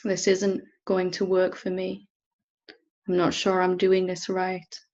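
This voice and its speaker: A woman teacher leading a guided meditation in a gentle, unhurried manner with long pauses. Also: lowish voice, monotone, muffled recording. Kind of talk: guided meditation, softly instructing.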